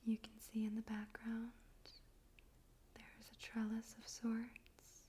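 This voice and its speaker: A woman speaking in a soft, breathy whisper, gently and carefully, in an ASMR style for poetry reading. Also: a little high, completely flat delivery, slow. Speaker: ASMR host and poetry reader. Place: room in a home in daytime.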